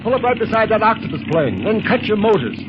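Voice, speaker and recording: An actor playing a cautious, commanding secret police leader in a 1930s radio adventure serial. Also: male voice, high voice, big pitch swings, fast talker. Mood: wary. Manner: commanding, clipped, protective. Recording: muffled, noisy 1930s radio recording.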